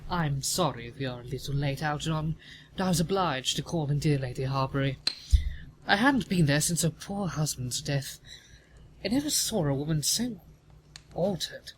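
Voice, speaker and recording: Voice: medium pitch, animated, normal speed, lightly acted, poor audio. Male actor as imperious older aristocratic woman, disapproving, formal, pompous, audio play, faint background noise. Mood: gossipy, stately. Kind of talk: audio play comedy of manners.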